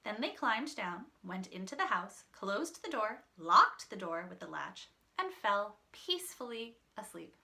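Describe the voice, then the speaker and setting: A woman's voice: mid-range voice, big pitch swings, measured pace, creaky, bright, forceful tone. A woman reading a children's story aloud, dramatic and teacherly, with asides to the listeners.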